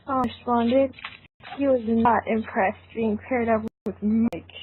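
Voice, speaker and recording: High-voiced woman on a tinny call. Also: steady tone, slow, slightly rough. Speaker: narrator of a group fan-fiction reading. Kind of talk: scripted narration and casual chat. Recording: tinny narrowband voice call, faint noise, clicks.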